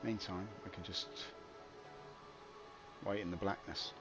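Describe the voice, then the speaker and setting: A man's voice: low voice, steady tone, a little slow, muffled recording. A man streaming live gameplay, grumbling wryly and poking fun at himself as he plays. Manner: grumbling, wry and self-mocking. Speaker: game streamer. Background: game music.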